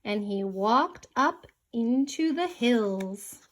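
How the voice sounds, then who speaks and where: A woman reading a children's picture book aloud in an expressive narrating style. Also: a little high, very slow. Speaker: storybook reader.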